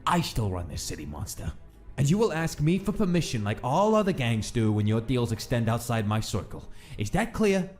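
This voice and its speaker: A man plays a contemptuous old mafia don in a comic dub. He is pompous and commanding, with animated intonation and faint music behind him. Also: mid-range voice, normal speed, lightly acted. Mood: haughty, commanding. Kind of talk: comic dub character acting.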